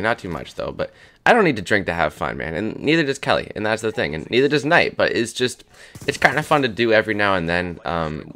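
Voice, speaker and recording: A hoarse young man in his twenties rambling crudely and jokily as the host of a gaming channel. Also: low voice, fairly bright tone. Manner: rambling, crude, jokey, lots of "dude" and "man". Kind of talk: casual rambling commentary over gameplay.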